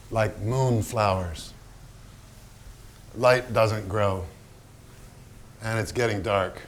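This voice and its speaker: An actor in a theatrical staged reading, playing a volatile, boastful painter and the bossy filmmaker directing him, with dramatic delivery. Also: male voice, fairly low pitch, a little hiss or hum. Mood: brooding, measured. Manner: dramatic, swinging from muttering to barked shouts.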